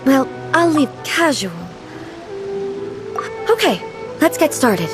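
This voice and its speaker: A woman playing a solemn, naive deity ruler in a video game, speaking in a formal, measured, earnest way. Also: high-pitched, big pitch swings, music in the background, exaggerated voice. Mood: self-conscious. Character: solemn, naive female deity ruler, puzzled by modern things. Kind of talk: scripted video game dialogue.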